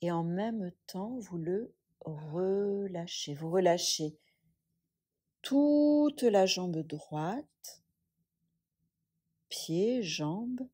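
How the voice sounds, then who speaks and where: A woman instructor guiding a yoga relaxation meditation, speaking softly and soothingly in a breathy, hushed voice with long pauses. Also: on the low side, expressive.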